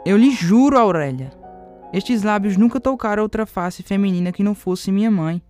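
A man's voice: high-pitched, normal speed, a little mellow, soft background music, close to an everyday voice. A Brazilian man playing a smitten, penniless young suitor in a radio soap, with earnest romantic delivery. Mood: adoring.

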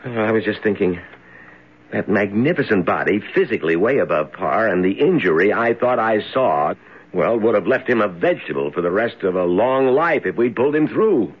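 A man's voice: lowish voice, big pitch swings, a little fast, breathy edge. An actor playing a puzzled, deferential young male hospital doctor in an old-time radio drama. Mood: puzzled, defensive. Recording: dull lo-fi old radio recording.

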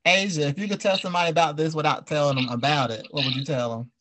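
Guy podcast host, kinda higher voice, slangy and informal, just chatting with the group. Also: steady tone, fast, a bit bright, no room sound. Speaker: podcast host and audio drama creator. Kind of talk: casual group interview.

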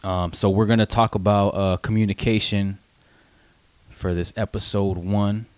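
A man in his late twenties with a low-pitched voice talks in a dry-sounding space. He co-hosts an unscripted chat, rambling informally and halting as he finds his words, with casual swearing. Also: level tone, smooth, no room sound. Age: late 20s. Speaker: podcast co-host.

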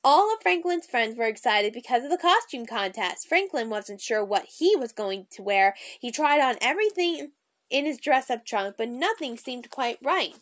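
A woman's voice: fairly high pitch, lively intonation, normal speed, a bit bright. A woman hosting a read-along, reading a children's storybook aloud.

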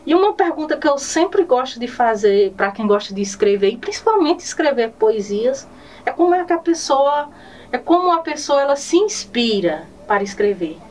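A woman hosting an interview, asking questions in warm, formal phrasing. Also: high voice, sing-song, moderate pace. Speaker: interview host. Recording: distant microphone.

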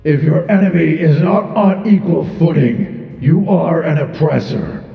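A man playing a proud, stern, ancient god of war who speaks in a grandiose, formal, deliberate way, as in a theatrical monologue. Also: on the high side, gravelly. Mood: solemn, stern. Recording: muffled, reverberant hall effect with music.

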